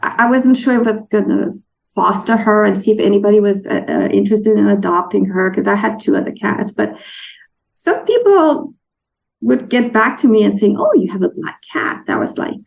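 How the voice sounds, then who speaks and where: Woman from denmark, gravelly voice, chatty guest telling a story, stops to hunt for words now and then. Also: mid-range voice, expressive, normal speed, low-quality recording. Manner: warm storytelling with restarts and searching for words. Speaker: guest author. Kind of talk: casual interview chat.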